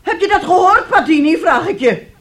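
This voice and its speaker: A woman's voice: very high-pitched, expressive, moderate pace. A domineering mother in a radio drama. Her voice swoops up and down as she wheedles and scolds, full of theatrical self-pity and scheming. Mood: indignant, scolding.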